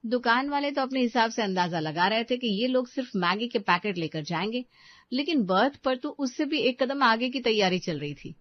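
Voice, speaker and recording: A woman's voice: a little high, animated, moderate pace, no room sound. Woman narrating a storybook. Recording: dull dry voice-over.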